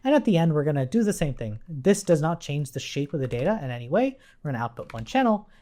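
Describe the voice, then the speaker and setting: Woman, instructor, low pitch, animated intonation, informal, step-by-step tutorial delivery. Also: quick pace. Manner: informal, explains step by step, checks with "right?". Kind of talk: live coding tutorial, explanatory.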